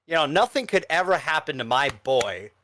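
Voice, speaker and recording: A podcast co-host chatting casually about films, halting and easily flustered. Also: medium pitch, sing-song, fairly bright tone, slight background noise. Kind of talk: casual chat reviewing films on a livestream podcast.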